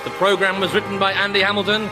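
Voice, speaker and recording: A man's voice: high voice, level tone, quick pace. A man reading scripted closing credits as a radio announcer. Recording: voice over prominent music.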